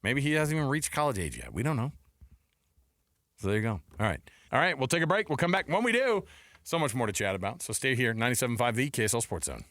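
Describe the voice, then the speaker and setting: A man's voice: mid-range voice, huge pitch swings, quick pace, fairly bright tone, no echo. An American man co-hosting a sports radio show, chatting casually and jokingly in colloquial style from a studio.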